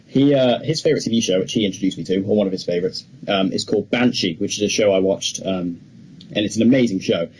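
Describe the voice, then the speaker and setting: A man's voice: lowish voice, quick pace, darkish tone, slightly rough, poor audio. A young man co-hosting a podcast, chatting casually and enthusiastically with plenty of opinions. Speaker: podcast co-host. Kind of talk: casual film chat.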